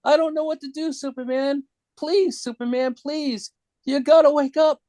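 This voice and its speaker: A man voicing an excitable, easily frightened young boy in a dramatic comic book reading. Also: very high voice, normal speed, voice pushed up. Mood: desperate pleading. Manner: pleading, exclaiming.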